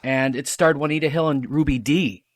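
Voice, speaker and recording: A man's voice: a little high, normal speed, dry recording. Man, podcast guest, explains at length, dry close sound. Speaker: guest radio drama producer. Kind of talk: casual chat.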